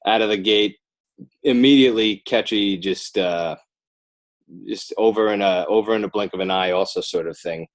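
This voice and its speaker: American man, slightly low voice, wry and self-deprecating, loose conversational interview guest. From northern New Jersey, United States. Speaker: podcast guest, animator and children's author. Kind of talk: casual joking interview chat.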